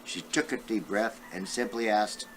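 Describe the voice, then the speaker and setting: Deep-voiced elderly man reading a storybook. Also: measured pace, a little hiss or hum. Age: 70s or older. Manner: talks directly to young listeners with asides. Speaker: great-grandfather reading aloud. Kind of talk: children's storybook read-aloud.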